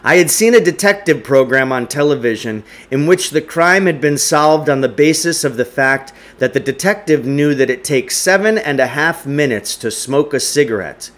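A man's voice: on the high side, lively intonation, moderate pace, hardly any pauses, a bit bright. Middle-aged man reading a story aloud. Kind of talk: audiobook-style story reading. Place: indoors, home living room with wood stove.

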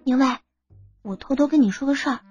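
Woman acting a shy, soft, high-voiced girl. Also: fast, lo-fi sound, soft background music, lightly acted. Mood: shyly secretive. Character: shy, stubborn young college girl in love. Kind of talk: audiobook character acting.

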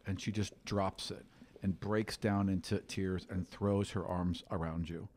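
A man in his thirties with a fairly low voice narrates as game master. He improvises scene description close to a studio microphone. Faint noise sits underneath. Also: fairly even intonation, a little slow, a little mellow. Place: small studio room indoors. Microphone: microphone on stand, close.

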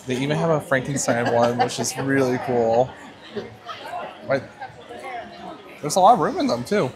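A man casually vlogging as he walks around an indoor expo, upbeat and easily delighted, with joking asides. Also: mid-range voice. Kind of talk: casual walkthrough vlog. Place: busy indoor expo hall. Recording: crowd chatter behind.

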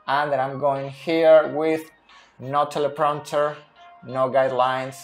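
A man in his thirties who is not a native English speaker, explaining football tactics unscripted at a whiteboard, slow and deliberate with many pauses. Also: medium pitch, flat delivery, faint music behind. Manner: slow and deliberate, pausing often. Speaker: football analyst. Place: small room by a whiteboard.

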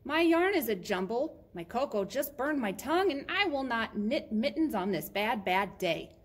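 A woman voicing a flustered village woman who knits, with a drawn-out delivery, in a children's picture book. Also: fairly high pitch, big pitch swings, pitched above the performer's own voice. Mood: grumpy, scolding.

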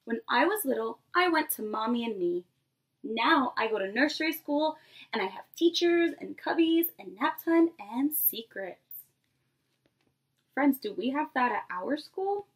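High-pitched young woman reading to preschoolers. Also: unhurried. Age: 20s. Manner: expressive, addresses children directly with questions. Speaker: preschool teacher. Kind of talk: animated read-aloud to young children. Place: small bedroom, indoors.

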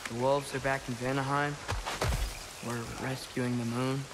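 A man's voice: medium pitch, level tone, unhurried, background noise, close to an everyday voice. A young man playing an earnest, curious teenage boy adventurer in a video game, with a casual, questioning manner. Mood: thoughtful. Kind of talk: video game dialogue acting.

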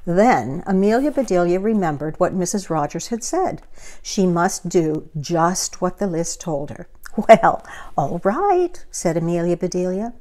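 A woman in her sixties reading a picture book aloud to children in a deliberate manner, with asides to the listener. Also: lowish voice, mellow. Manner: deliberate, asks the listener questions. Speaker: children's storyteller. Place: living room, indoors.

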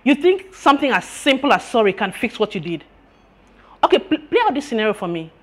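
Female, Nigerian accent, stern scolding restaurant manager, husky and animated, screen drama. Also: on the high side, brisk. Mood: angry scolding. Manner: scolding, emphatic.

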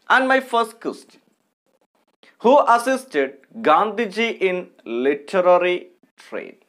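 A man in his thirties with an Indian accent, teaching an English lesson and reading aloud with deliberate, careful articulation. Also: high voice, fairly bright tone. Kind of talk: online lesson with text read aloud.

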